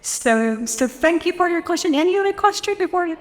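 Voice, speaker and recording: A woman from the Philippines moderating a panel, speaking reflectively with many pauses and fillers. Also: very high-pitched, animated. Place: conference hall. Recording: long hall reverb.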